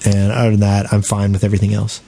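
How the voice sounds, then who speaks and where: A man's voice: fairly low pitch, completely flat delivery, quick pace, vocal fry, mellow, slight background noise. A man co-hosting a casual gaming podcast, dry and teasing as he chats. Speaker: podcast co-host. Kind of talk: casual joking chat about a video game.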